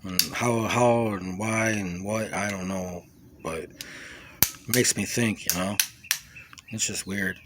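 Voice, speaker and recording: A man recording an informal, rambling personal testimony, speaking in a halting, reflective way with repeated words and trailing asides. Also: low-pitched, steady tone, noisy background. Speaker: vlogger sharing a personal testimony. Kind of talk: rambling, informal monologue recounting personal experiences.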